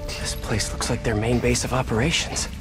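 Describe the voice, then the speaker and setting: Young male game superhero, played by a man, mid-pitched, wry, talking to himself over music. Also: little pitch movement, moderate pace, lightly acted. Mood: wry, focused. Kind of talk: video game voice acting.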